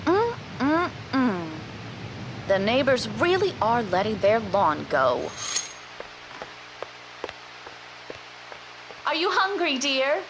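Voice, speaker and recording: A woman's voice: fairly high pitch, slow. A woman playing a chirpy, oblivious, fussing housewife mother in a stylized horror drama, drawing out her words sweetly. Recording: steady background noise and hum.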